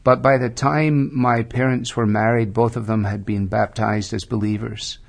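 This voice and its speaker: A Scottish man in his fifties, a pastor answering interview questions in a measured, thoughtful way with frequent pauses. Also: medium pitch, little pitch movement, creaky. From Scotland. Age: 50s. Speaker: pastor and guest. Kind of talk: reflective interview storytelling.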